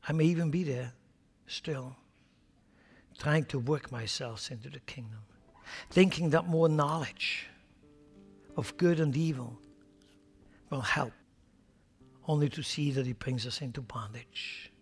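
A man's voice: mid-range voice, measured pace, pauses often, breathy edge. Swiss-accented man preaching in a hall. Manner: emphatic, rising and falling between loud exhortation and hushed prayer. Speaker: pastor. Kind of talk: sermon and closing prayer. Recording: long hall reverb with faint music.

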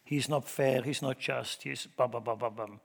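A middle-aged man with a Swiss German accent, preaching a sermon in church, emphatic and shifting from loud to hushed. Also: medium pitch, steady tone, moderate pace, vocal fry. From Switzerland. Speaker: pastor.

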